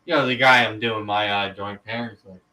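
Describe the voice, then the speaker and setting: A man in his thirties talks as a guest on a live call, animated and stop-start, with the occasional casual swear. His tone is bright and sharp, and he sounds set back from the microphone. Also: fairly low pitch, a little fast, distant mic. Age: 30s. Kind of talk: casual chat.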